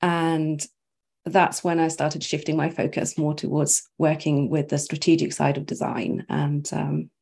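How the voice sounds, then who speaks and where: A woman from Norway, a brand strategist, guesting on an interview over a video call, chatty and quick to laugh. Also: sits low, level tone, vocal fry, darkish tone, breathy edge. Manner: chatty, laughs often, thinks aloud with fillers. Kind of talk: casual interview chat.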